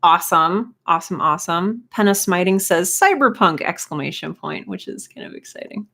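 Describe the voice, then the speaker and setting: A woman's voice: lowish voice, normal speed, creaky, pushed and bright. A woman hosting a live stream, chatting casually and encouragingly as she greets viewers and reads out comments. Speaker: live stream host and writing teacher.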